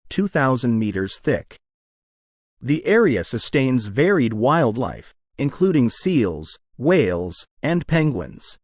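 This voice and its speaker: A man narrates short study passages in a measured, deliberate way. His intonation moves freely up and down across each phrase. The sound is dry and close, with no room around it. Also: medium pitch, no room sound. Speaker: narrator of English test practice passages. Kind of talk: scripted read-aloud narration.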